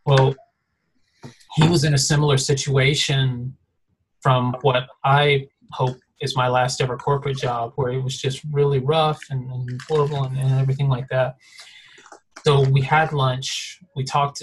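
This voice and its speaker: A man's voice: mid-range voice, breathy edge, poor audio. A man in a casual podcast chat, telling a rambling story full of fillers and restarts. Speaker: design mentor guest.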